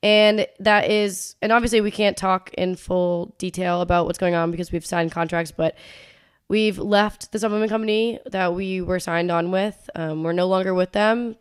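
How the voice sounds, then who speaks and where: A woman's voice: fairly low pitch, fairly even intonation, normal speed, clean tone. A young woman in her twenties with a stuffy, congested nose, telling stories casually as a podcast co-host. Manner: chatty storytelling, frequent "like".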